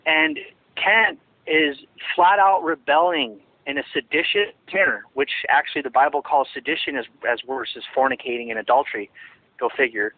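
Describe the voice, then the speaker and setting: A man's voice: fairly high pitch, normal speed. A man giving a phone interview, talking in a rambling, emphatic way with many restarts. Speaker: interviewee, former associate of a ministry. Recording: tinny phone line, dry.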